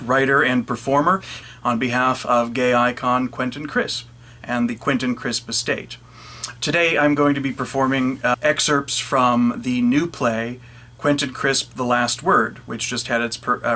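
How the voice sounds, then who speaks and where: A man introduces a performance as its writer and actor. His voice is bright and crisp-edged, sitting at a middle pitch. The delivery is presentational, addressed to viewers. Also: steady tone, measured pace. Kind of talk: presentational spoken introduction to camera.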